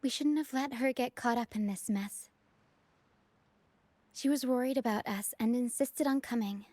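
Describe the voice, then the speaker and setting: A woman playing a solemn, watchful young guide in a fantasy video game, speaking in a measured, earnest way. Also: a little high, mellow, no echo, close to an everyday voice. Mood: dry, concerned. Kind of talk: scripted video game dialogue.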